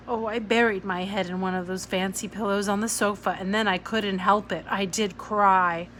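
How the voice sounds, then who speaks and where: A woman's voice: medium pitch, steady tone, normal speed, fairly bright tone. A woman reading a children's novel aloud as scripted narration. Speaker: audiobook-style reader. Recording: clear background noise.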